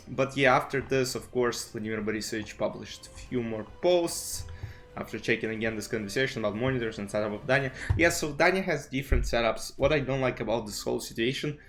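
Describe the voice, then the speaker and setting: A man's voice: mid-range voice, a bit bright. Man, rambling streaming chat. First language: Russian. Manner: rambling, full of "you know" and restarts. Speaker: chess streamer. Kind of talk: casual commentary vlog. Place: home streaming desk setup. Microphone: desk streaming mic with webcam.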